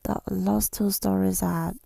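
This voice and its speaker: A woman speaking in a soft, breathy whisper in an ASMR style, reflective and hesitant, pausing often, close to the microphone. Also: mid-range voice, fairly even intonation, no room sound. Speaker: ASMR creator. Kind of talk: ASMR, rambling personal reflection.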